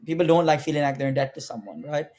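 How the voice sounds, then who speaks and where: A man's voice: a little high, quick pace. A young man from Pakistan whose first language is Urdu, a podcast guest talking energetically and persuasively about careers. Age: young adult. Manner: energetic, persuasive, talks directly to viewers. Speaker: guest, former international student. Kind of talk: casual podcast interview.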